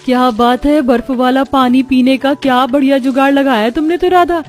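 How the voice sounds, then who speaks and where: A woman's voice: high-pitched, fairly even intonation, moderate pace, voice pushed up, close to an everyday voice. Casting: resourceful young rural daughter-in-law, woman acting, homely, eager, colloquial, light music behind. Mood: delighted.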